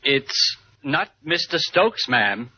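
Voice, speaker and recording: An actor playing an apologetic, deferential servant, halting and formal, in 1950s radio style. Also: male voice, mid-range voice, big pitch swings, sharp tone, slight background noise, heavily acted. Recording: dull 1950s radio recording.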